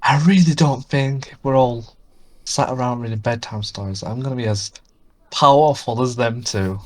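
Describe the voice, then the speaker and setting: A man's voice: medium pitch, normal speed. A man co-hosting a podcast in casual, self-deprecating chat, with a soothing voice. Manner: self-deprecating, excitable storytelling. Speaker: podcast co-host.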